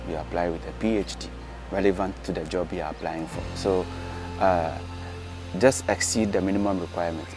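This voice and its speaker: A deep, low-pitched man speaks in Ghanaian-accented English, steady and explanatory, with frequent hesitant "uh" and "um" fillers. He sounds like he is talking casually to camera outdoors. Clear music plays underneath his voice. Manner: measured, explanatory, frequent "uh" and "um" pauses. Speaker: vlogger, science teacher. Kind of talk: casual advice vlog. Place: outdoors in an apartment garden, later seated.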